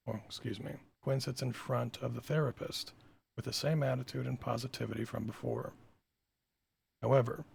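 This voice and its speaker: Male writer-director for table-read narration. Heavy vocal fry, raspy tone, informal and self-deprecating. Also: medium pitch, darkish tone.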